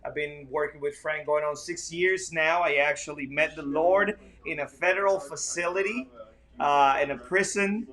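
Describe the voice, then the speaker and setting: A man's voice: fairly high pitch, fairly even intonation, moderate pace, fairly bright tone. A man from Costa Rica, a ministry director, talking earnestly and upbeat in a casual livestream chat from a hotel. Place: hotel room.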